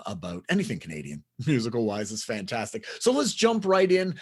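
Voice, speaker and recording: Male host, lively up-and-down voice, slightly husky, upbeat, chatty. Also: fairly high pitch. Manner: upbeat, chatty, laughs often. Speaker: podcast host. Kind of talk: casual interview.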